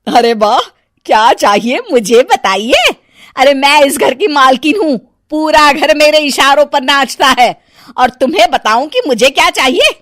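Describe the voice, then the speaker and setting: A woman playing a domineering, scheming stepmother-in-law in a melodramatic story video, with a shrill, haughty delivery. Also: expressive, unhurried, a bit bright, no echo, studio quality. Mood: haughty, angry. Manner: shrill, haughty, stammers when frightened.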